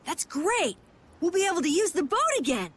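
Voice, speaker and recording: A woman voicing an earnest, excitable young boy adventurer in a video game. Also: very high voice, fairly quick. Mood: eager. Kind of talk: animated video game dubbing.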